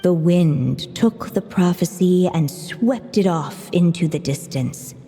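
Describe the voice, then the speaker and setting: A woman narrating a fairy-tale story in a gentle, hushed storybook manner. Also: on the low side, slow pace. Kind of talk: storybook narration in a game cutscene. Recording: long reverb with soft music.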